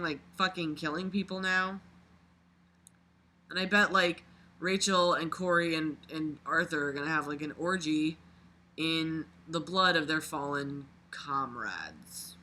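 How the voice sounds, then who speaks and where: A mid-pitched reader with a very bright, sharp voice. The delivery is a dramatic read-aloud, expressive and exclamatory, broken up by mocking, sweary asides. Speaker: reader and commentator.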